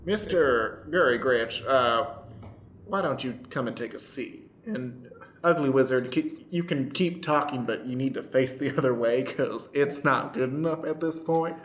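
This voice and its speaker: A man's voice: medium pitch, unhurried, a bit bright, natural voice. A man playing a stern, dry courtroom judge, formal in his questioning, in an improvised radio play. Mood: flustered, bossy. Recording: echoey room, faint background noise.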